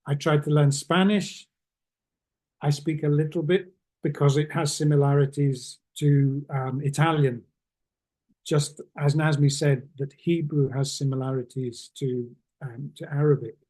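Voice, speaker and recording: A man teaching an online English class, asking slow, encouraging questions with many pauses. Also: fairly high pitch, fairly even intonation, darkish tone.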